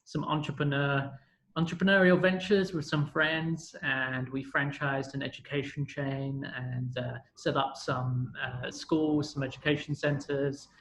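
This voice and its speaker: A man's voice: mid-range voice, little pitch movement, measured pace, a bit bright, slight background noise. A British man from London in his thirties, a podcast guest who tells his story in a rambling, self-correcting way. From London, England. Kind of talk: casual storytelling interview. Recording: echoey room.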